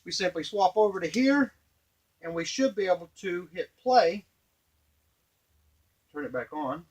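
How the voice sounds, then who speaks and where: Middle-aged male demonstrator, higher-pitched voice, informal step-by-step workshop tutorial, slightly halting delivery. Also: expressive, darkish tone, slightly rough. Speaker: device maker and demonstrator. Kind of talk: informal hands-on product tutorial. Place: small indoor workshop at a workbench. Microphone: camera a few feet away.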